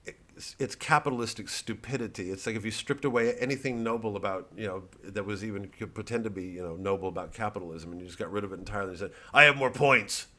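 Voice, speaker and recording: A man, a satirical comedian, rambling casually and thoughtfully in a halting, digressive monologue with some swearing. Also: lowish voice, fairly even intonation, a bit bright. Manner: halting, ruminative, digressive, profane.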